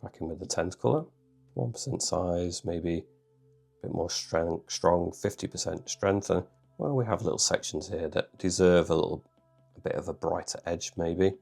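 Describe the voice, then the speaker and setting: A man with a bunged-up cold voice, calmly talking through a drawing tutorial step by step. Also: deep voice, moderate pace, creaky, breathy edge, faint music behind. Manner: calm, reassuring, thinks aloud. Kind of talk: relaxed step-by-step tutorial narration.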